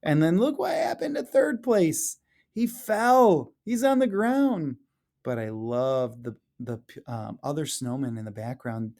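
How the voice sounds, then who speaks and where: A man reading a picture book aloud as a teacher, chatting to children and explaining the pictures with expressive, drawn-out phrasing. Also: a little high, vocal fry. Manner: questions and chats to children, explaining illustrations.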